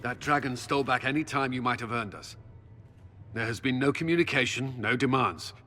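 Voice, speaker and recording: An actor playing a grim military commander in a video game, urgent and commanding with clipped delivery. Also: male voice, mid-range voice, brisk, pushed and bright, big-room sound, soft background music, close to an everyday voice. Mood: grave, urgent. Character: grim military commander of a besieged army, man. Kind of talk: dramatic video game acting.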